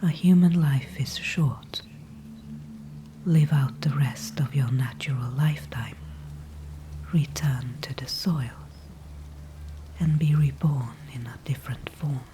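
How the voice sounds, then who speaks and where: A woman voicing an ancient, calm and wise female tree spirit in an ASMR audio roleplay, speaking only in a soft whisper with formal, old-fashioned phrasing. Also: extremely low pitch, takes it slowly, pauses often, a little hiss or hum. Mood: calm, knowing. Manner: soft unvoiced whisper throughout.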